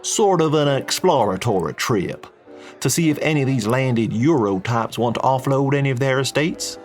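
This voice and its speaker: A man's voice: mid-range voice, expressive, normal speed, soft background music, voice pushed up, close to an everyday voice. A man voicing a blunt, boastful middle-aged oil tycoon. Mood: hard-nosed. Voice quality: folksy drawl, tobacco-spitting. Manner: loud, plain-spoken, boastful.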